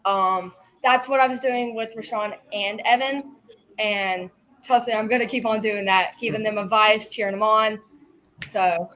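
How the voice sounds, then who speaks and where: A woman, a martial arts competitor, answering interview questions eagerly, with a loud voice and many fillers. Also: medium pitch, fairly even intonation, measured pace. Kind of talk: post-match interview.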